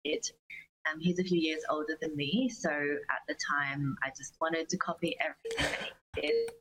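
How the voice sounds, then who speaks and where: A woman's voice: sits low, very animated, moderate pace, a bit bright, low-quality recording. A young woman, a singer-songwriter, chatting casually as an interview guest.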